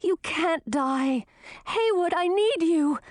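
A woman's voice: high voice, animated, normal speed, a little mellow, dry recording, clean studio sound, pitched above the performer's own voice. A woman voicing an earnest young heroine who talks in an eager, anxious and pleading way.

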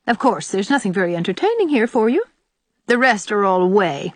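A sour old country aunt, put on by a woman reader, pitched high and swooping. She nags and complains with biting sarcasm, as a storybook character who disapproves of everyone. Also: very animated, moderate pace, no echo. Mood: sarcastic. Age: older. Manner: disagreeable, sarcastic, nagging. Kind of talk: audiobook character voice.

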